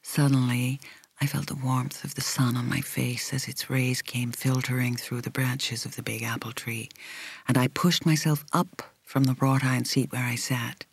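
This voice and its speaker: A woman reading a first-person novel as an audiobook narrator, in a measured, reflective, carefully articulated way. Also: a bass voice, little pitch movement, vocal fry, slightly rough, no room sound.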